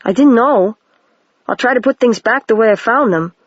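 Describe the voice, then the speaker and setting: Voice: mid-range voice, moderate pace, dry recording, pitched above the performer's own voice, close to an everyday voice. Earnest young wizard boy, as a reader voices him. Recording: dry, dull lo-fi home recording.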